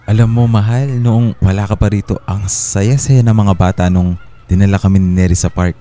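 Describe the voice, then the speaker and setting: Affectionate family man played by a man, very soft dark tone, lowish, radio drama. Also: fairly even intonation, moderate pace, lightly acted. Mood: cheerful. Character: affectionate husband and father, mildly defensive. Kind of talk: Filipino radio drama acting. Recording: music behind the voice.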